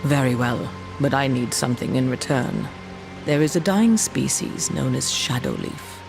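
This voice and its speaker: Aloof, gravelly fantasy quest giver. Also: male voice, mid-range voice, lively intonation. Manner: measured, aloof, formal. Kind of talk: video game cutscene acting. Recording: music behind the voice.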